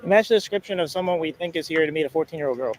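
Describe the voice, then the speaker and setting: A man in an on-the-street confrontation, speaking insistently and repetitively, with some halting starts. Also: fairly high pitch. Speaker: citizen investigator confronting a stranger. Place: outdoors in a public place. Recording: outdoor recording with faint ambient noise.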